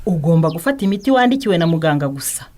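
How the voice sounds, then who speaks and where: A woman's voice: lowish voice, sing-song, racing, dark tone. A woman with a Rwandan accent playing a firm, reassuring community health adviser in a radio drama, instructive and coaxing. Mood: firm, advising.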